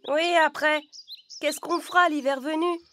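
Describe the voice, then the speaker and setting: A woman voicing a small, hardworking, sensible ant in a French children's animated fable, speaking in an earnest, matter-of-fact way. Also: sits high, a little slow, slight background noise. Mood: serious, reproachful. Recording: loud music behind the voice. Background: music.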